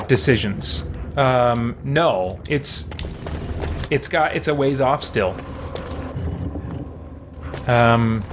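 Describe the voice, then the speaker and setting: A man's voice: mid-range voice, background noise. A man chatting casually on a game livestream, thinking aloud as he plays. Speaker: game streamer, host. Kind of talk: casual livestream chat while playing a video game. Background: video game sounds and character voices.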